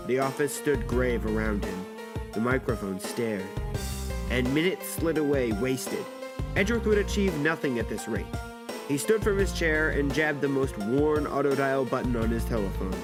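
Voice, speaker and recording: A man with a medium-pitched voice narrates a story in scripted, dramatic prose. Music plays clearly underneath his narration.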